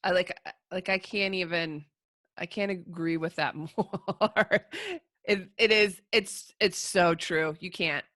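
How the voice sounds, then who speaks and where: A woman's voice: fairly low pitch, steady tone, takes it slowly, a bit bright, no echo, studio quality. A woman hosting a podcast interview, asking long and searching questions in a casual way. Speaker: podcast host.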